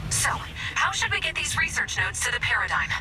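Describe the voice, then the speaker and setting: A sardonic, nerdy game sidekick. She is high and very lively in pitch, teasing and theatrical, and sounds set back from the mic with music playing behind her. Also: fairly quick, far from the mic, low-quality recording. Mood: dry, teasing. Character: sardonic, nerdy female research assistant. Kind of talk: video game voice acting.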